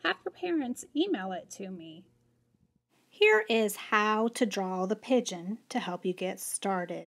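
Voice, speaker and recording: A middle-aged woman reading a children's story aloud in an expressive, measured and emphatic way. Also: medium pitch. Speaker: school librarian and storyteller.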